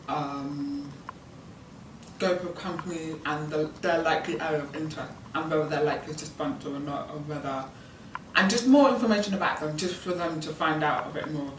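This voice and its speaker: British female student intern, 19, BSL first language, slightly low voice, halting informal delivery; distant, noisy pickup. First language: British Sign Language. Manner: hesitant, rambling, many ums and likes. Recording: echoey room, distant mic, background noise.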